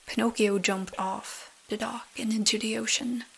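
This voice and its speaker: A woman narrating a bedtime story in soft, breathy, soothing ASMR style. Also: on the high side, steady tone, rough recording. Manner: slow, soothing. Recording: rain sounds behind the voice. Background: rain.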